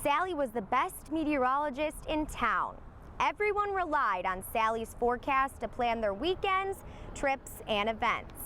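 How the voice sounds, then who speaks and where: High, lively woman reading a children's storybook. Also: measured pace, slight background noise. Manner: expressive, storytelling delivery. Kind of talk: scripted storybook narration for young children.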